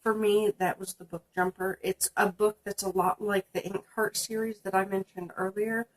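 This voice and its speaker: A woman's voice: mid-range voice, level tone, normal speed, rough recording. A young woman, stuffy from a sinus infection, chatting casually about books on her channel, with lots of ums. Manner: chatty, many ums, reading prompts aloud. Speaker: book vlogger. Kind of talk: casual book tag video.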